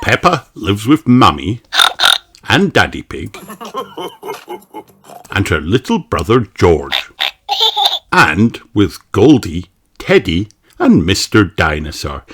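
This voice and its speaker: A man reading picture books aloud for children in a slow, playful, exaggerated storytelling way. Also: low-pitched, wildly swooping pitch, fairly bright tone, slightly rough. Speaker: storybook reader.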